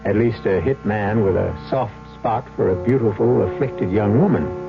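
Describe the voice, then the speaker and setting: A man's voice: on the low side, vocal fry. Theatrical male radio-drama host over music. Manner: theatrical, deliberate phrasing. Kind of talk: dramatic scripted narration. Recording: muffled old radio, music behind.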